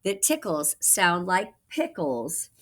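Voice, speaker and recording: A clever little boy in a storybook, voiced by a woman. He is matter-of-fact and draws key words out with emphasis, his pitch moving in a lively way. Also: slow pace, heavily acted. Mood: reasoning.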